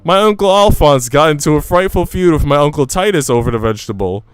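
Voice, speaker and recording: A man's voice: a little high, animated, quick pace, smooth, dry recording, soft background music, pitched above the performer's own voice, lightly acted. A man voicing a gossipy, long-winded young Swiss maid from a visual novel. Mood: earnest, rambling. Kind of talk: visual novel character reading.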